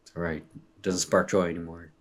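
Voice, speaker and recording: A man co-hosting a casual sports podcast, laid-back and joking with quick quips, his voice a little hoarse from a recent illness. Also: low-pitched, level tone. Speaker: podcast co-host. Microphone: remote call recording.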